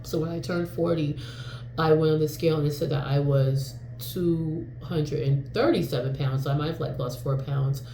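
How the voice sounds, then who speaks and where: Mellow-voiced woman in her forties, rambling. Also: low voice, steady tone, moderate pace, echoey room, a little hiss or hum, rough recording. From New York. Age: 40s. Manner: rambling and frank, with frequent fillers and mild swearing. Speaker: vlogger. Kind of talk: casual talking-to-camera vlog.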